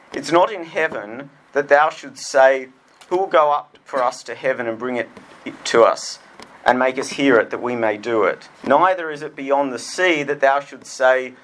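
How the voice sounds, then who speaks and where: A man's voice: medium pitch, fairly bright tone. A man reading a prepared speech about his memoir, earnest and reflective. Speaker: memoir author speaking.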